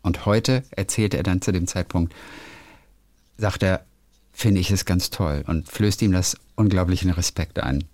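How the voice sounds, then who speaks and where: Low-voiced man, chatty and dry. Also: a little slow, a little mellow, no room sound. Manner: chatty storyteller, playful, hums melodies. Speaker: radio host. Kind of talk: casual phone chat between friends.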